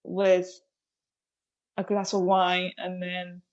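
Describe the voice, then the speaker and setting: Woman, japanese native, slow unhurried speech, slightly dull sound. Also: fairly low pitch, completely flat delivery, darkish tone. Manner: hesitant, halting phrasing with frequent laughs. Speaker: comedian guest. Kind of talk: casual podcast chat.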